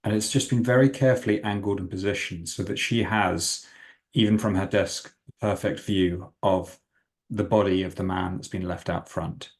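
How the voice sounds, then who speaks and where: A man's voice: low voice, steady tone, normal speed. A man playing a tabletop roleplaying game, describing a scene in careful, improvised detail.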